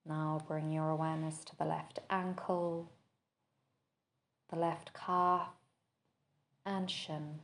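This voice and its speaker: A woman with a lowish voice guides a body scan meditation. She speaks very slowly and gently. Each measured instruction is followed by a long, unhurried pause. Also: monotone. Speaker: podcast host and meditation teacher.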